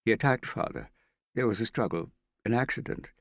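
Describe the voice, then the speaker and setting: A distraught young woman begs and pleads, her voice lifted high and tight, as a man reads her part. The delivery sits close and dry, with a husky edge underneath. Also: very animated, normal speed, dry recording, full character voice. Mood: urgent. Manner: anxious, pleading.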